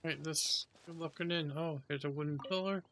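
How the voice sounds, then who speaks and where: A man's voice: fairly high pitch, steady tone, moderate pace, fairly bright tone, slight background noise. A man giving casual playthrough commentary, muttering to himself as he plays and reads. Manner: muttering to himself, reading on-screen text aloud. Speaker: video game player.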